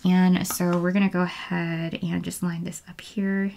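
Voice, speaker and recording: A woman's voice: fairly low pitch, flat delivery, measured pace, creaky, heavy background noise. A woman chatting casually as she crafts at home, musing to herself and often trailing off into murmurs. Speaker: planner video host. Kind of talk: casual crafting chat, narrating as she works. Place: at home, indoors.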